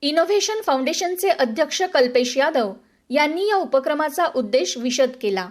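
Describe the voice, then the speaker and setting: Female newsreader, high voice, scripted newsreel narration. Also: animated, normal speed. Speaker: radio news presenter.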